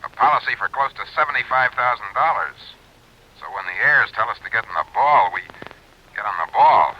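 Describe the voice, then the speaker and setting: A man's voice: mid-range voice, lively intonation, fairly quick. An American man playing a businesslike, insistent insurance company man over a telephone in a radio drama, earnest and pressing. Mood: businesslike, urging. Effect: telephone filter. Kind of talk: 1950s-60s radio detective acting. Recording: tinny telephone effect on old radio.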